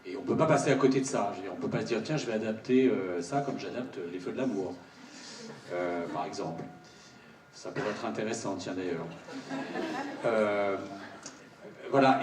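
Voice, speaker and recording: A man giving a casual, colloquial answer on a panel, pausing and hesitating often. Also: mid-range voice. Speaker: voice actor on a panel. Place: large cinema auditorium. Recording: echoey hall, distant mic, faint noise.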